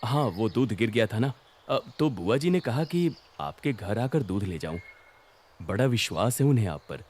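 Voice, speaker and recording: A man voicing a calm, suspicious young city policeman in a children's cartoon story, speaking politely and with measured, probing words. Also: fairly low pitch, a little hiss or hum. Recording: music behind the voice.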